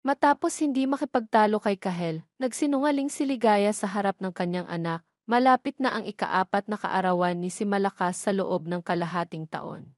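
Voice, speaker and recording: A woman's voice: medium pitch, moderate pace, dry recording, studio quality. A woman reading a scripted story as its narrator.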